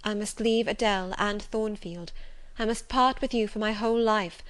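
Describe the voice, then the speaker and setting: A resolute young governess speaks softly and steadily, as a woman reads her lines. Her words are measured and firm in an audiobook telling. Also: medium pitch, lightly acted. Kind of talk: audiobook reading.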